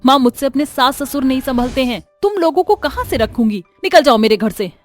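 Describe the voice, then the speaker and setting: A woman voicing an angry, selfish young married daughter in a moral story, loud and snappish. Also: high-pitched, lively intonation, fairly quick, faint music behind.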